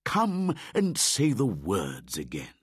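A man's voice: on the low side, wildly swooping pitch, breathy edge, dry recording, clean studio sound, full character voice. A man voicing an old brown bear who patiently teaches a boy, in a storybook reading. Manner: slow, deliberate, warmly instructive.

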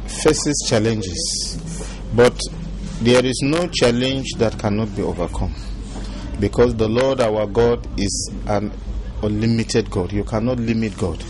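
A middle-aged man with a Nigerian accent, a church leader speaking in an interview in a calm, deliberate, reassuring way. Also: fairly low pitch, noisy background.

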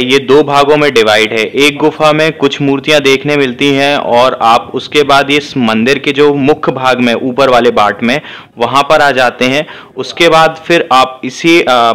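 A man's voice: mid-range voice, a bit bright, clean tone. A man vlogging a temple tour, casually talking his viewers through each spot he shows them. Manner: addresses viewers as friends, guiding a temple tour. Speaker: travel vlogger. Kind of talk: casual vlog narration. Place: temple complex.

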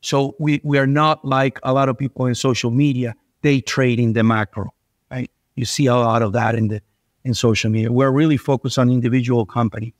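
A man's voice: medium pitch, steady tone, fairly quick, dry recording, studio quality. A middle-aged man from Venezuela, a guest investor on a podcast, talking things through with frequent restarts and repeated words. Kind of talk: conversational podcast interview.

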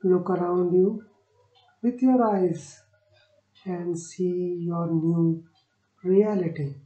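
Woman, meditation guide, slightly low pitch, soothing phrases, long pauses, roomy echo. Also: fairly even intonation, dark tone, lo-fi sound. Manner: slow, soothing delivery with long pauses between short phrases.

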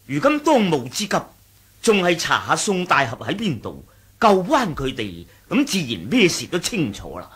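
Very raspy, dignified sect elder. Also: male voice, fairly high pitch, huge pitch swings, moderate pace, a bit bright, heavily acted. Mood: reasoned, solemn. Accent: Hong Kong Cantonese. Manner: reasoned, formal, declamatory. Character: dignified, diplomatic male senior sect envoy. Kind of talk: 1980s Cantonese radio drama acting.

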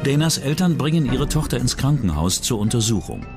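Lively male true-crime narrator over loud music. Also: mid-range voice, fairly quick. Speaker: documentary narrator.

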